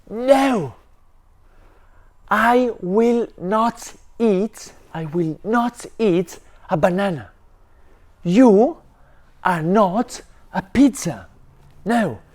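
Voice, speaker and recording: A storyteller doing an angry pizza-mad boy. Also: medium pitch. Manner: shouting, insistent refusals. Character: angry stubborn boy who only eats pizza.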